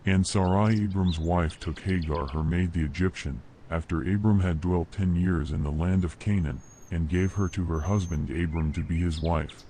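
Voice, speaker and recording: A man reads scripture as a narrator in a solemn, measured, formal manner. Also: low-pitched, fairly even intonation, warm tone, a little hiss or hum. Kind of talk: solemn scripted Bible reading. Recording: nature ambience behind voice. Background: birdsong and nature sounds.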